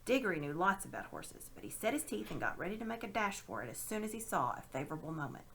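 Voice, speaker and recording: Animated woman reading a story aloud. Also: fairly low pitch, fast, creaky, a little hiss or hum. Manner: dramatic, animated. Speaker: storybook reader. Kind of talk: expressive read-aloud storytelling.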